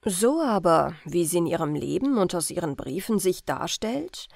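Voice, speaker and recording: A woman reading an old-fashioned family tale as an audiobook narrator, in carefully phrased, expressive storytelling. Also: lowish voice, moderate pace, creaky, no echo, clean studio sound. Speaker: audiobook reader.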